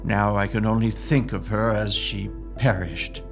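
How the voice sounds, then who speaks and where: Man playing a low-voiced Victorian gentleman. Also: little pitch movement, a little slow, creaky, over music. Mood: grieving, bitter. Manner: formal, theatrical. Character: melancholy widowed Victorian gentleman, dutiful brother. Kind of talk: period audio drama acting.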